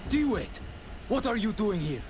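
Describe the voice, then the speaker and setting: A man's voice: sits high, big pitch swings, a little slow, noisy background. A man playing a defiant, bitter young exile in a video game, indignant and emphatic. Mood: startled, suspicious.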